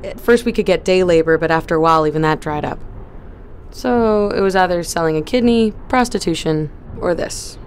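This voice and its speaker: A woman playing a quietly determined crew member. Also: lowish voice, fairly quick, slight background noise, natural voice. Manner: quiet, resolute. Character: determined young female spaceship crew member.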